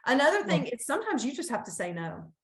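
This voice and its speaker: A woman's voice: high-pitched, sing-song, quick pace. Earnest woman on an echoey video call. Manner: earnest, encouraging, with frequent restarts. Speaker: school staff presenter. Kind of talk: conference talk on a video call.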